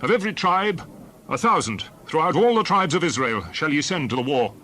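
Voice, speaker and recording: A man's voice: on the high side, sing-song, fairly quick, bright, forceful tone, a little hiss or hum. A man playing Moses as a stern, authoritative lawgiver, declaiming emphatically in a dramatized scripture reading. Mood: commanding.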